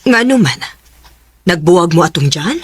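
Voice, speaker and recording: A woman playing a husky scolding mother. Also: on the low side, expressive, normal speed, dry recording. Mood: stern. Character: middle-aged mother, scolding. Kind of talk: Cebuano radio drama acting.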